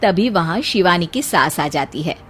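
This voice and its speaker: A woman's voice: on the low side, expressive, normal speed, a little hiss or hum. A woman narrating a scripted moral story as the storyteller.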